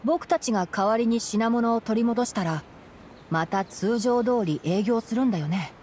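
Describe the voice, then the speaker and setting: A woman playing a clever young male forest ranger in an anime game, boyish, crisp and dryly critical. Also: on the high side, moderate pace, darkish tone, slight background noise, close to an everyday voice. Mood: businesslike. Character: youthful male forest ranger captain, clever, brisk, sardonic. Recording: game music behind the voice.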